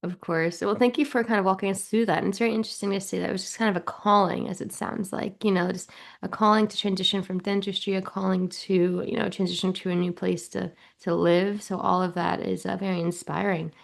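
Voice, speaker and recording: A woman's voice: mid-range voice, little pitch movement, fairly quick, creaky. A woman hosting a podcast, reading a polished guest introduction and then asking easy, conversational questions. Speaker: podcast host. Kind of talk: podcast interview.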